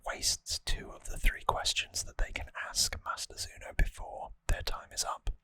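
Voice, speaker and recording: An ASMR host speaking entirely in a breathy whisper close to the microphone, softly and informally explaining a story recap. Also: normal speed. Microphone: close microphone, dry sound.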